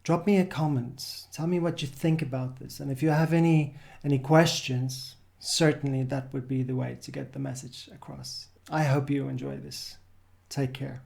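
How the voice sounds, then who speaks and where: A man's voice: medium pitch, fairly even intonation, moderate pace, vocal fry, hardly any pauses, a little mellow. A male host speaking directly to viewers and inviting them to subscribe and comment. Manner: friendly direct address to viewers. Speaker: interview host. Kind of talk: casual video outro.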